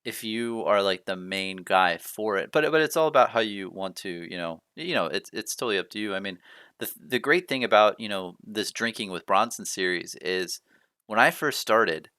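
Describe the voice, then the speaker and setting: A man's voice: on the low side, expressive, normal speed, sharp tone, smooth, no room sound, studio quality. A man hosting a casual talk show, chatting loosely with lots of "you know" fillers. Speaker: podcast host. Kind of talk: casual chat.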